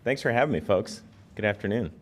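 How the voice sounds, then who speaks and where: A hoarse man giving an informal presentation to an audience as a guest speaker, speaking in a plain, conversational way. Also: fairly low pitch, animated, quick pace. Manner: conversational, plain-spoken, self-effacing.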